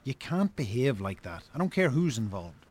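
A man's voice: medium pitch, very animated, normal speed, darkish tone. Man playing a blunt, level-headed Irish brother. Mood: cold, reproachful. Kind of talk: naturalistic radio drama acting.

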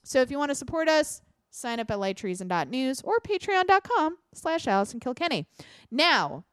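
A woman's voice: sits high, big pitch swings, normal speed, clean tone, no echo, studio quality. A woman in her thirties co-hosting a podcast, chatting casually with some swearing. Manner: chatty, profane, laughs at her own slips. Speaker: podcast co-host. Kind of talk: casual chat.

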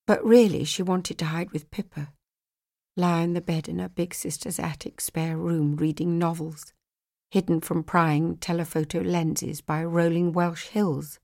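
Woman, audiobook narrator, lowish pitch, scripted fiction reading. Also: darkish tone.

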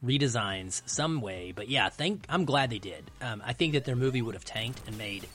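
A man's voice: mid-range voice, lively intonation, moderate pace, a bit bright. Opinionated man in casual talk over music. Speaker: podcast co-commentator on films. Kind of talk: casual film discussion.

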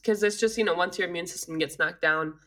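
An Australian woman co-hosting a casual chat, congested from an illness, telling stories in an animated way and laughing often. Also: fairly low pitch, fast talker. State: congested, with a cough. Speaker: podcast co-host.

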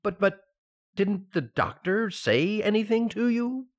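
Guy doing a flustered 40s radio husband, affectionate, stammery, slowish, pitch all over the place. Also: lively intonation, muffled recording. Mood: bewildered, eager. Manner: hesitant, stammering comic delivery. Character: excitable, befuddled 1940s sitcom husband, affectionate. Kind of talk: 1940s radio sitcom acting.

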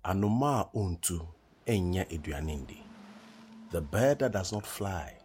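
A man playing a dreamy wanderer of his own kingdom, reciting deliberately and poetically in a radio play. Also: low voice, expressive, darkish tone, husky, soft background music, heavily acted. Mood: dreamy, incantatory. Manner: incantatory, deliberate, repeating phrases. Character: unhurried dreamy male wanderer who calls the land his kingdom.

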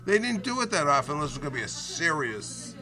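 A man's voice: a little high, big pitch swings, quick pace, a crowd in the background. A man from Boston, telling reflective stories and explaining his point at length in a casual group chat. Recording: noisy crowded room.

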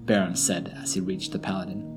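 A man's voice: low-pitched, little pitch movement, fairly quick, darkish tone, faint music behind. A man hosting and narrating a scripted fantasy audio drama as a storyteller. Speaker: narrator and host.

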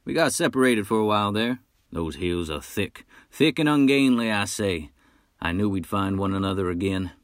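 A man's voice: on the low side, lively intonation, normal speed, no echo, lightly acted. Guy doing a cocky chatty wolf hunter, folksy, dry close sound. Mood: boastful. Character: boastful talkative male wolf hunter, adult.

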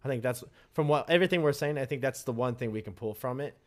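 A man's voice: mid-range voice, fast talker. Laid-back man rambling in casual chat. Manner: loose, rambling, swears casually, jokey asides. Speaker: podcast co-host.

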